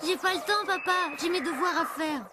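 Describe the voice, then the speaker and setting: A woman voicing a cheeky, eager young child in an animated cartoon. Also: very high voice, a little fast, music in the background.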